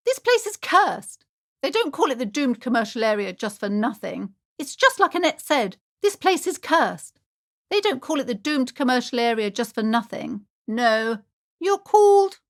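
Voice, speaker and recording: An actress playing an anxious, superstitious shopkeeper in a video game, speaking in a theatrical, formal and ominous way. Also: female voice, sits high. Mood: accusing, despairing, then wary, indignant. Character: anxious, superstitious shopkeeper woman, dramatic and doom-laden. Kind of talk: video game character dialogue.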